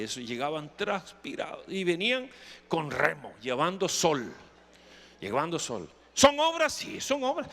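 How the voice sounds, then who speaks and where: A man's voice: fairly high pitch, fairly bright tone. A middle-aged or older man with a Costa Rican accent preaching emphatically, putting questions to his congregation and repeating phrases. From Costa Rica. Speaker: pastor preaching. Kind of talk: sermon with anecdotes and questions to the congregation. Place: camp meeting hall with congregation. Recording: reverberant hall, long echo.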